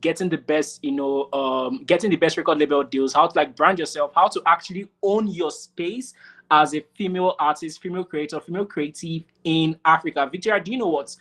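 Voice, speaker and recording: A woman with a low voice hosts a casual interview. She encourages her guest with short affirmations and turns to speak straight to the listeners. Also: fairly quick, a bit bright. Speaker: interview host.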